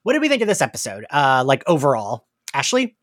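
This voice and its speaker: A man's voice: mid-range voice, wildly swooping pitch, quick pace, fairly bright tone, no echo, studio quality. A man hosting a podcast, chatting casually and informally with plenty of "like" in his talk. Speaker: podcast host. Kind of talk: casual chat about an audio drama.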